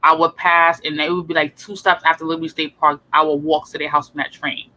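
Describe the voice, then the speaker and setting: A man with a fairly high, sharp voice chats casually. He hesitates often and fills his talk with "like" and "um". His speech is loose and rambling. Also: little pitch movement, brisk, rough recording. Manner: hesitant, rambling recollection with many fillers. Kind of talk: casual chat.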